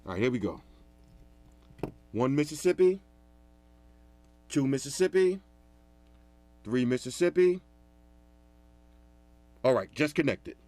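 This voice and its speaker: A man giving a casual, animated product review in a loud, talkative voice. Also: mid-range voice, slow pace, pauses often. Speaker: tech reviewer.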